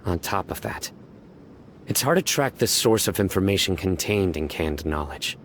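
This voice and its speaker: A man voicing a stern, calm young male judge who speaks in a measured, grave and terse way in a video game. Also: sits low, slight background noise. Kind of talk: game voice acting. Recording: game audio with music behind.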